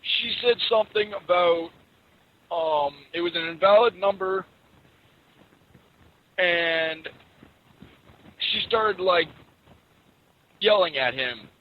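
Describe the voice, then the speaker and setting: A man's voice: high-pitched, slow pace, pauses often. A man calling customer service to complain, talking in an earnest, conversational way. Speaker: caller making a complaint. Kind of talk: prank phone call in earnest conversational tone. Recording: phone call with faint background noise.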